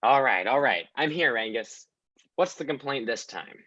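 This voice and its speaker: A man's voice: medium pitch, expressive, moderate pace, bright, forceful tone, muffled recording. A man playing a straight-laced detective. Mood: earnest. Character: straight-laced male small-town detective.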